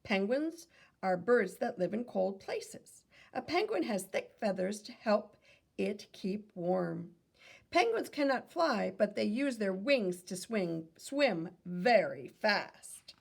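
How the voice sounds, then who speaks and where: Woman, teacherly children's read-aloud, lively intonation, heavy vocal fry, instructive and questioning. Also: medium pitch, slow. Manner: instructive, posing questions to young listeners.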